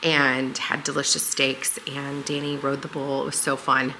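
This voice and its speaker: A woman hosting a home vlog, talking casually and a little hoarse, with lots of fillers in a living room. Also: extremely low pitch, completely flat delivery, moderate pace, sharp tone, a little hiss or hum, low-quality recording. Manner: rambling and friendly, with many fillers. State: slightly hoarse. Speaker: home vlogger and channel host.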